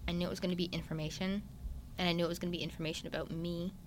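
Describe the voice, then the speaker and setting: A young man, a guest in a casual chat, talking openly and at length with lots of fillers and animated retellings. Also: high-pitched, rapid-fire, a little hiss or hum. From Newfoundland, Canada. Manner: chatty and open, many "like" fillers, animated retellings.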